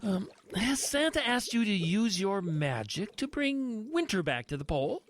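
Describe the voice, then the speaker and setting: A man playing a scheming, excitable travel agent villain in a radio play, theatrical, flustered and pushy. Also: high voice, moderate pace. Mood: ingratiating. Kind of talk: radio-play character acting.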